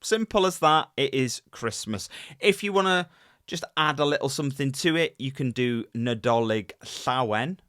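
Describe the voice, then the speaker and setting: A man co-hosts a casual podcast and talks in an animated, rambling way, full of fillers and restarts. His voice sits in a middle pitch range. The recording is dry and close-miked. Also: moderate pace, clean tone, no room sound. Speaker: podcast co-host.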